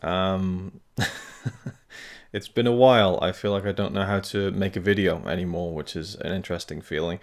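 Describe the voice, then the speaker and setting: Deep-voiced man, hesitant and rambling. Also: steady tone, normal speed. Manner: rambling, hesitant, many fillers and restarts. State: talking carefully because of tooth pain. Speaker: film review channel host. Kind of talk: casual channel update to camera.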